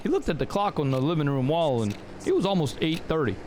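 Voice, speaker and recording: Chatty man, slightly high voice. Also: very animated, fast talker, a little mellow, a little hiss or hum. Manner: rambling and chatty, many fillers and restarts, keeps saying "man". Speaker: audio production tutor and channel host. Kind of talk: casual talk to viewers, then reading a short story.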